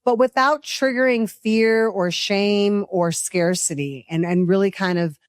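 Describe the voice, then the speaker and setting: A woman's voice: medium pitch, unhurried, no room sound, clean studio sound. A woman hosting a conversational interview, putting questions in a reflective, considerate way. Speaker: podcast host, interviewer.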